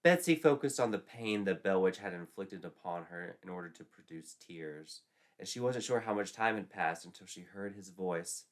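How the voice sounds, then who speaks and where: A man's voice: sits low, expressive, normal speed. Theatrical, wry male storyteller. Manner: theatrical, wry, tongue-in-cheek. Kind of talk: dramatic scripted comic narration.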